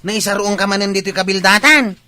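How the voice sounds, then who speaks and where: A man's voice: sits high, a little fast, close to an everyday voice. A man with a Filipino accent playing a brusque, irritable village man in a comedy radio drama. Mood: irritated, challenging.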